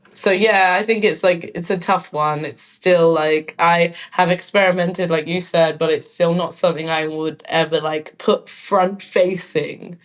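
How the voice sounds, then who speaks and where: A woman talks through her ideas as an interview guest. Her voice sits low for a woman. She thinks aloud, filling pauses with "like" and "um.". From London. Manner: thoughtful, frequent "like" and "um" fillers. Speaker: guest artist and filmmaker. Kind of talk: casual conversational interview.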